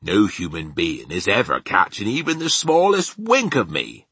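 A man voicing a huge, kindly, simple storybook giant who speaks earnestly in a slow drawl with homely, muddled grammar. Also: on the low side, big pitch swings, fairly bright tone, husky, dry recording, exaggerated voice. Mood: confident. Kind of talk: storybook character voice.

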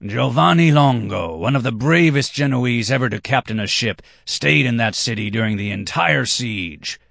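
A man's voice: on the low side, lively intonation, moderate pace, vocal fry, a bit bright, no echo, studio quality, voice pushed up, heavily acted. A man voicing a drunken, boastful, hot-tempered red-haired banker.

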